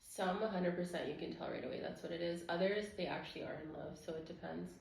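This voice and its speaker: A woman's voice: lowish voice, quick pace, gravelly, darkish tone. A woman chatting casually with her partner as co-host of a couple's channel, with a giggly manner. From Romanian background. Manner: giggly, laughs often while talking. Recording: very echoey room.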